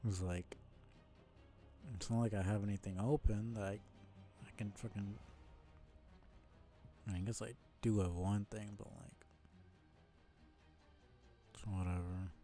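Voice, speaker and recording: A man giving casual live game commentary as a streamer, muttering in a low-key way and swearing freely. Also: steady tone, a little slow, long pauses, mellow, faint music behind.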